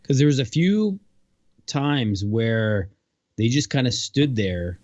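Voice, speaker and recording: A man co-hosting a podcast, speaking in a hesitant way and pausing to search for words. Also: mid-range voice, very animated, a little mellow, dry recording. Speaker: podcast co-host. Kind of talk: casual chat. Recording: dull dry lo-fi mic.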